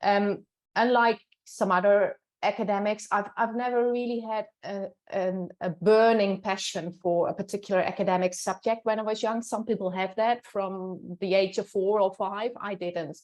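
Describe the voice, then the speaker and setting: Older Dutch-accented woman academic, measured voice, pauses and restarts while recalling her career. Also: medium pitch, steady tone, a little mellow. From Netherlands. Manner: thoughtful, many fillers and restarts. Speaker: professor, interview guest. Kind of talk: reflective interview answer.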